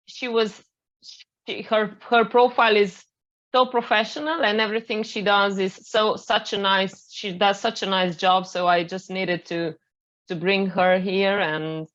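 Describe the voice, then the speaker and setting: A woman's voice: medium pitch, fairly bright tone, muffled recording. Hesitant Romanian woman hosting a call. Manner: hesitant, restarts phrases often. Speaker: interview host. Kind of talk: live online interview. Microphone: video call.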